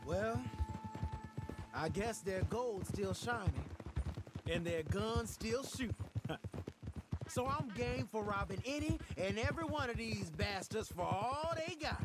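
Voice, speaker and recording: A man playing an eager, sharp young outlaw in a Western game, speaking in an earnest, folksy way. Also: sits high, animated, slow pace. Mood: game, resolute. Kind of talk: video game cinematic acting. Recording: clearly noisy outdoor game ambience.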